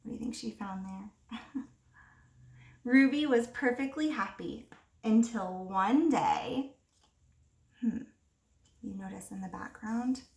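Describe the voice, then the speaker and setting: A woman teacher reading a picture book aloud to young children, expressively, pausing to ask them simple questions. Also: on the high side, vocal fry, a little mellow. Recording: roomy echo, distant microphone.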